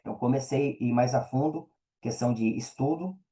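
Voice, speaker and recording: A man with a very dark, soft-edged timbre and an average pitch speaks with a Brazilian accent. He is a podcast guest telling a personal story in casual, colloquial language, often adding little tag questions. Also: normal speed.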